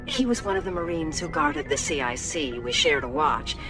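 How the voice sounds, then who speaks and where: An actress playing a brisk, dutiful middle-aged female naval officer who is also a caring mother, in a video game. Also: lowish voice, sing-song. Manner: brisk, dutiful, quietly concerned. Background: game music.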